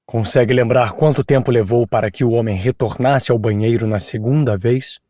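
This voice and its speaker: A man voicing an insistent police chief who questions a witness in a firm, measured way. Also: mid-range voice, mellow, dry recording, studio quality, pitched below the performer's own voice, natural voice. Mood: probing.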